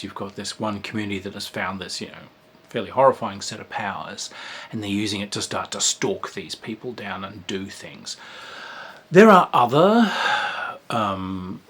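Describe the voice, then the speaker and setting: A man's voice: fairly low pitch, a bit bright, slight background noise. A man, a game designer giving a casual spoken review of a tabletop game, rambling with frequent ums and restarts.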